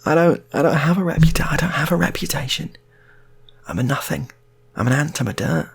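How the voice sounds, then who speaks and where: A man voicing a distraught, stubborn, self-loathing man in an improvised story, in a high, strained, tearful whine, stammering and repeating himself. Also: brisk, creaky, a little hiss or hum. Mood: self-pitying.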